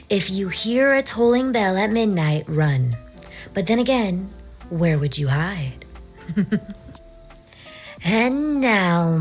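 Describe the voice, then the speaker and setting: A woman hosting a horror show in a scripted macabre persona, theatrical and teasing. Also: medium pitch. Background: faint music.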